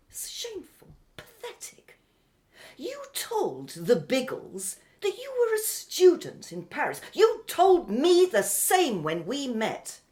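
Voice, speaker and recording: A woman's voice: high-pitched, full character voice. A woman playing a bitter, scornful young woman in a radio drama, accusing and emphatic in her delivery.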